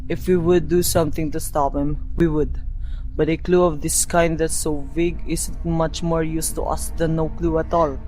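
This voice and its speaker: A woman's voice: deep voice, little pitch movement, normal speed, warm tone, faint music behind, close to an everyday voice, rough recording. A woman playing a brusque, dismissive police desk sergeant in a suspense radio drama, patronizing and placating. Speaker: student radio drama actor.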